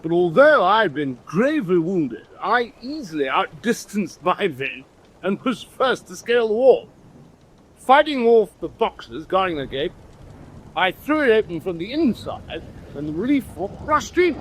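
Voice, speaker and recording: An actor playing a boastful British naval commander with an English accent and a pompous, self-satisfied, dismissive way of talking. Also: male voice, high-pitched, very animated, unhurried, fairly bright tone, husky, heavily acted, rough recording. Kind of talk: period audio drama acting.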